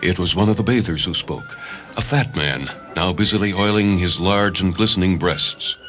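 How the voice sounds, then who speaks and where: A man's voice: sits low, moderate pace, a bit bright. A man reading a short story as dramatic scripted narration. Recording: music under the voice. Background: background music.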